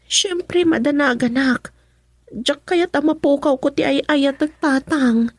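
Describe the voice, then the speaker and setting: A woman's voice: sits high, slow pace, no room sound. A woman acting an anxious young daughter in love in a radio drama, plaintive, with a Filipino accent. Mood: worried.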